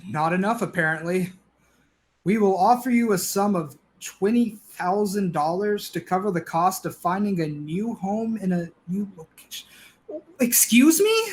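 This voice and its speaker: Man as exasperated male neighbor, high-pitched, complaining, sarcastic, comedic play. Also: expressive, a little slow. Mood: bewildered, indignant. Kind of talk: comedic stage play acting.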